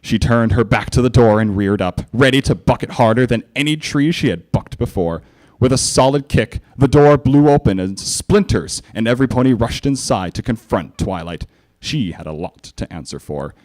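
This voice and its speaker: A man giving a theatrical, animated live reading of fan fiction as narrator and presenter. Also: medium pitch, moderate pace, low-quality recording.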